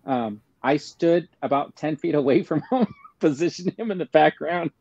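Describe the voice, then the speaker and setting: Mellow-voiced man, halting casual storyteller. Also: on the high side, lively intonation, vocal fry, no echo, lo-fi sound. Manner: hesitant, halting. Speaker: panelist on a fan livestream. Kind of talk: casual anecdotal chat.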